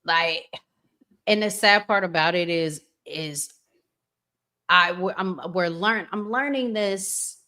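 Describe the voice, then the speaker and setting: A woman's voice: fairly low pitch, slow pace, pauses often, bright, forceful tone. A middle-aged American woman hosting a casual livestream talk show in a conversational manner. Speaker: podcast host.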